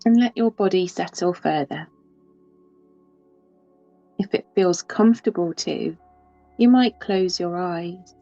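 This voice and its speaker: A woman guides a deep-rest yoga nidra in a slow, hushed, mellow voice. She lets long pauses settle between phrases. Faint music plays under a slightly dull, muffled recording. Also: no echo. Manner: soft, unhurried, with long pauses.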